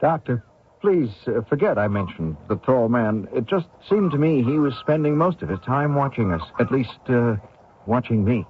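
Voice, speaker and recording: An actor in an old radio drama playing an evasive, uneasy fugitive courier, speaking politely and with guarded hesitation. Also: male voice, mid-range voice, animated, moderate pace, lightly acted. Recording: tinny, noisy old radio drama recording.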